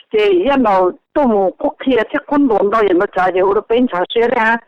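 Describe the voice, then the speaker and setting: A woman's voice: medium pitch, moderate pace, no echo. A woman talking casually as a participant on a conference call. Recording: dull lo-fi telephone line.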